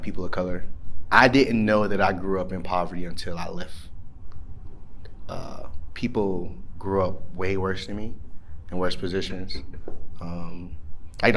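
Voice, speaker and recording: A man in his thirties from Georgia, speaking reflectively about his life in an interview, pausing often with fillers. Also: deep voice. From Savannah, Georgia, US. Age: 30s. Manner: reflective, with frequent hesitant fillers. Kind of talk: candid personal interview.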